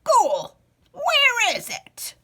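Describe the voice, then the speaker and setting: A woman voicing a cranky, impatient eight-hundred-year-old man in a storybook, croaking and hollering indignantly. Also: very high voice, wildly swooping pitch, extremely slow, noisy background, voice pushed up, heavily acted. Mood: demanding.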